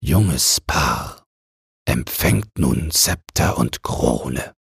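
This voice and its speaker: A man voicing a weary, ancient male ruler of Winter in a solemn fairy tale audiobook, with a gravelly, husky voice. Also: very deep voice, expressive, dry recording, clean studio sound, voice pushed down, exaggerated voice. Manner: slow, solemn.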